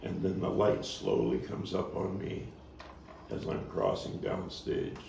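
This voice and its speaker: A man talking through the stage directions of a play in a halting manner, as its playwright and actor. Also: deep voice, animated, vocal fry, a little mellow. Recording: roomy, noisy, distant microphone.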